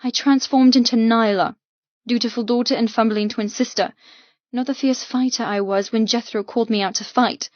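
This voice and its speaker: A woman's voice: fairly high pitch, fairly even intonation, normal speed, no echo, lo-fi sound. A woman narrating an audiobook novel in the first person, with an intense, emotive, dramatic delivery.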